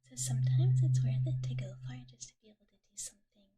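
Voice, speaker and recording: A woman whispering softly and breathily close to the mic in a gentle, cutesy way, chatting casually as an ASMR host. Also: on the high side, huge pitch swings, fast talker. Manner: gentle, cutesy, speaks of herself in third person. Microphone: close ASMR microphone. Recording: clear noise, clicking trigger sounds.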